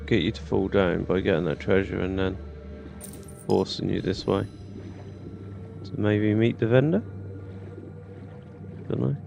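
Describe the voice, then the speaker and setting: A British man with a low, heavily gravelly voice talks through a video game. He muses aloud casually, often asking himself questions, with faint music behind him. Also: warm tone. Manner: musing, thinking aloud in questions. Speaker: gamer, let's play host. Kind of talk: casual gameplay commentary. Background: faint game music and sounds.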